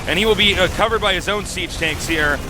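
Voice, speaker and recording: A man doing excitable, jokey play-by-play commentary on a strategy game match. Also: sits high, music in the background. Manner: excitable, jokey, quick to exclaim. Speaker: esports commentator.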